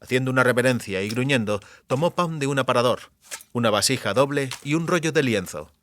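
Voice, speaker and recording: A man's voice: mid-range voice, animated, a little fast, a bit bright, slight background noise. A man narrating a fantasy novel as scripted audiobook narration.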